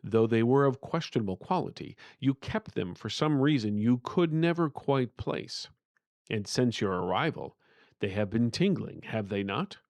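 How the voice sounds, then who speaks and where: A man's voice: medium pitch, animated, normal speed, a little mellow, no room sound, natural voice. A man voicing an earnest, pleading uncle whose spirit is trapped, in a fantasy storybook. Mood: calm, persuasive.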